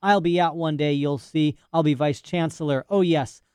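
A male narrator puts on a cranky old professor in his cell, boastful and aggrieved, forever tacking on a nagging "eh?". The voice sits a little high, with a dry, close sound. It plays as an audiobook character part. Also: fairly even intonation, moderate pace, a little mellow, smooth, no echo, natural voice. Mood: indignant. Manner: boastful, ranting, rhetorical "eh?" asides. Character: old imprisoned male professor, indignant and rambling.

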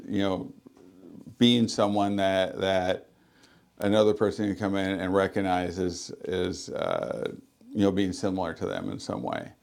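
A man with a fairly low voice talks as a guest on a radio interview. He is a librarian and speaks loudly, with many ums, restarts and friendly asides. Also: vocal fry. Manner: loud, chatty, many fillers.

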